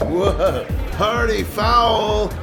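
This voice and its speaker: An actor playing a loud, drunk, obnoxious hacker in an audio drama, with drawn-out delivery. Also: male voice, high-pitched, gravelly, music in the background, exaggerated voice. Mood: drunk, goofy. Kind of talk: audio drama dialogue acting.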